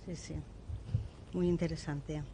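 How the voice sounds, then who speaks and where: A woman, an interviewer asking short, measured questions. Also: sits low, little pitch movement, dark tone, slight background noise.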